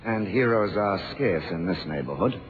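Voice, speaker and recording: A man's voice: low-pitched, lively intonation, normal speed. A man, a stage actor, speaking on a report. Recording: dull, noisy lo-fi recording.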